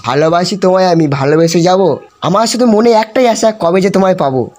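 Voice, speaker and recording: Fast-talking young man, teasing. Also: high-pitched, darkish tone. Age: young adult.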